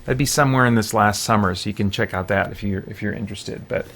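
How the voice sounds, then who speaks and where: A man's voice: fairly low pitch, fast, gravelly, fairly bright tone, a little hiss or hum. A man chatting casually on a gaming livestream, thinking aloud in a rambling, hesitant way and sounding tired late in a marathon. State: tired near end of all-night marathon. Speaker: game streamer.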